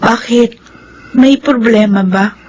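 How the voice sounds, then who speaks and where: Gentle, high-voiced young heroine. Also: female voice, takes it slowly, highs cut off. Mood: defensive, pleading. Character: gentle, trusting young woman, hopeful and forgiving. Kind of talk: Filipino radio drama acting. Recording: radio drama with background music.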